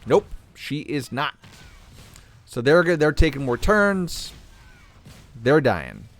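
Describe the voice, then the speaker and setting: Throat-clearing man talking through gameplay. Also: fairly high pitch, takes it slowly. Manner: relaxed, thinking aloud while playing. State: losing his voice, clearing throat. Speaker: gaming channel host. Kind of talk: casual gameplay commentary.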